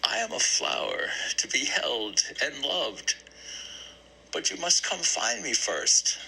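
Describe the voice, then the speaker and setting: A man's voice: fairly high pitch, big pitch swings, takes it slowly, vocal fry, sharp tone, breathy edge, voice pushed up, heavily acted, poor audio. A man voicing a small, lost, dreamy boy who declaims with longing in a play. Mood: dreamy, yearning. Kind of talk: quoted child in a play monologue.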